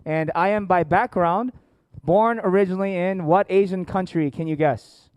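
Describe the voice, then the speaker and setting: An American man presents at a seminar in a crisp, resonant voice with very little room echo. He speaks informally as an educator and host, keeping things jokey and engaging with his audience. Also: high-pitched, normal speed, darkish tone. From born in South Korea, grew up in Atlanta, United States. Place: indoor seminar room with families and online viewers.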